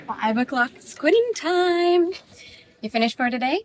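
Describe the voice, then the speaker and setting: An actress playing a friendly, sympathetic office coworker in an audio drama. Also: female voice, sits high, animated, unhurried. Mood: breezy, kind.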